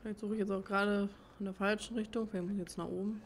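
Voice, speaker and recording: A woman's voice: mid-range voice, little pitch movement, very fast, a little hiss or hum. A woman giving casual gameplay commentary, rambling and thinking aloud as she plays. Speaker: let's player. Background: game music.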